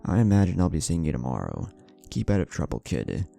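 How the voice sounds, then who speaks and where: A man voicing a gruff but kindly, dutiful ninja guard in a story, talking in an earnest, conversational way. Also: low voice, very animated, brisk, warm tone, faint music behind, full character voice. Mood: dry, friendly.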